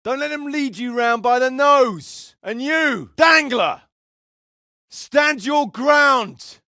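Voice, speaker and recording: An actor playing a seasoned medieval mercenary captain in a video game, gruff, bossy and wry, with a coarse, commanding, colloquial way of talking. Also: male voice, very high-pitched, lively intonation, takes it slowly, bright, forceful tone, dry recording, clean studio sound, exaggerated voice. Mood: barking orders. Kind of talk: video game character voice acting.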